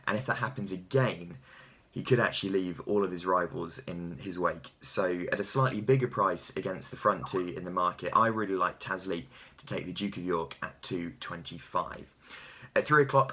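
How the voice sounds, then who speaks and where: A man's voice: low-pitched, a bit bright, slightly rough. A man in his thirties giving horse racing tips to camera with an emphatic, punchy delivery. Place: small home office, indoors.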